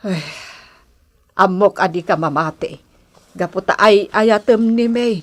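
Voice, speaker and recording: A woman's voice: mid-range voice, a little slow, natural voice. An actress playing a stern, suspicious middle-aged mother in an Ilocano radio drama, admonishing, with a Filipino accent. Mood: reproachful.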